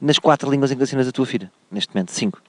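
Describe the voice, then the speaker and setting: A man hosting a comic radio chat, teasing and joking with quick asides. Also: mid-range voice, no echo. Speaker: radio host.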